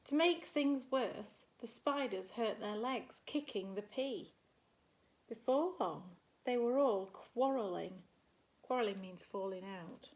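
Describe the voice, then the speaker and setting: A woman's voice: on the high side, poor audio. A woman reading a picture book aloud as a primary teacher would, expressively and with little asides to young listeners. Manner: animated, slow and deliberate storytelling, gasps and murmurs for effect.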